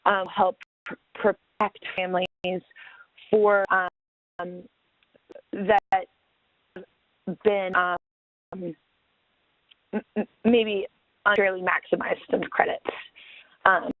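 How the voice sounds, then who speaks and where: A woman giving an informal explanation as a webinar panelist, pausing mid-sentence, over a tinny phone line. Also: mid-range voice, level tone, no room sound. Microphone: telephone or conference call line.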